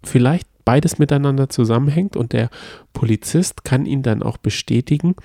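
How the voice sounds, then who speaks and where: A man co-hosting a casual podcast chat, calmly retelling a detective story and teasing about spoilers. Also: medium pitch, normal speed, vocal fry, dry recording, clean studio sound. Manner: explains calmly and at length, playful about spoilers. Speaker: podcast co-host. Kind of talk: casual chat about a radio play series.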